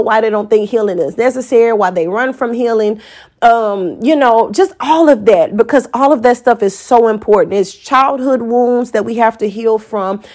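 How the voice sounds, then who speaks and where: A woman in her forties with an American accent, hosting a podcast as an unscripted, candid and colloquial personal monologue. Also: on the high side. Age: 40s. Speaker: podcast host.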